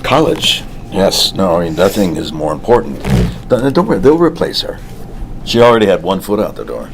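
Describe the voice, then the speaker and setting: An actor playing a grave, secretive middle-aged husband in a table read of a TV drama, halting and insistent. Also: male voice, low-pitched, big pitch swings, background noise. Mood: distracted, evasive.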